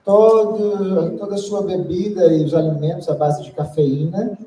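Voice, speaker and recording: A woman interprets a lecture phrase by phrase, often pausing on fillers while she searches for words. Her tone is very dark. She sounds roomy and far from the mic. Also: on the low side, fairly even intonation, a little hiss or hum. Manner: hesitant, fills with "ah" and "é". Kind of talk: consecutive interpreting.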